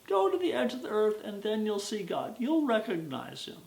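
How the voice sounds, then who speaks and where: A storyteller doing a slow, knowing old village wise woman. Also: medium pitch, huge pitch swings, vocal fry, darkish tone. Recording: roomy echo.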